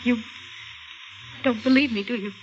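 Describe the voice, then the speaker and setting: An actress plays a shaken young woman in an old radio thriller, her voice high and halting. She stammers and insists, sounding close to panic. The recording is muffled, with clear background noise. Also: steady tone, close to an everyday voice. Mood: anxious, shaken. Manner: halting, breathy stammering, repeating herself. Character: frightened, shaky young woman insisting she saw a corpse. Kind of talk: 1950s radio mystery acting.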